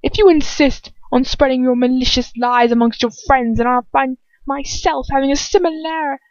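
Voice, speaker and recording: A woman's voice: sits high, fairly even intonation, moderate pace, a little mellow, no room sound, muffled recording, voice pushed up, close to an everyday voice. A woman voicing a cold, haughty, contemptuous man in a story reading, with a curt and drawling manner. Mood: menacing.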